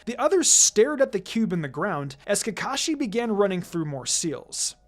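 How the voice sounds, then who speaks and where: A narrator reading fan fiction aloud in an expressive, scripted storytelling style. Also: mid-range voice, moderate pace. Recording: background music under the voice. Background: music.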